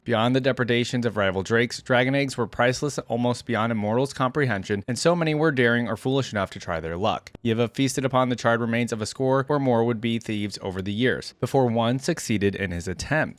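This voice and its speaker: A man's voice: mid-range voice, no echo. Casual man hosting reactions, dry close sound. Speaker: host of a reaction channel. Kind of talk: casual reaction commentary mixed with reading lore aloud.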